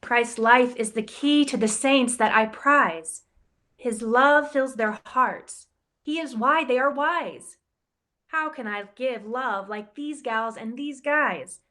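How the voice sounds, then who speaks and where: A woman reads a rhyming children's book aloud in a slightly high voice. She takes her time over each line, with a playful, expressive lilt that lets the rhymes land. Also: slow pace. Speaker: campus ministry director reading a picture book. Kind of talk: storybook read-aloud in rhyme.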